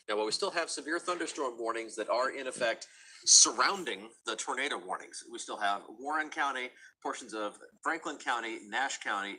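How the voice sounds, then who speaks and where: A man's voice: fairly low pitch, pushed and bright, husky, low-quality recording. A man with an American accent giving live severe weather commentary as a TV forecaster.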